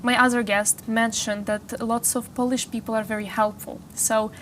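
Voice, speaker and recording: A woman's voice: a little high, level tone, normal speed, slight background noise. A woman in her twenties hosting a studio interview, upbeat and chatty, asking long, winding questions. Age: 20s. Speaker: interview host. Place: small studio. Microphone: lapel.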